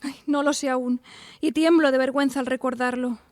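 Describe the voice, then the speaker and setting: Actress as high-voiced melodramatic young single lady. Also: steady tone, brisk, dry recording, clean studio sound, lightly acted. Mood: wistful. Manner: melodramatic, confiding, coquettish. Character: romantic, self-dramatising young single woman of the 1890s. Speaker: narrator and lead of a radio play. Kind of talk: theatrical first-person radio drama monologue.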